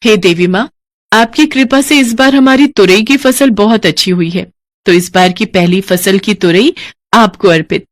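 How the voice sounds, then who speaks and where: A woman voicing an earnest, expressive and devout farmer's wife in a Hindi animated moral story. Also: medium pitch, normal speed, no echo, clean studio sound, lightly acted. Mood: devout, affectionate.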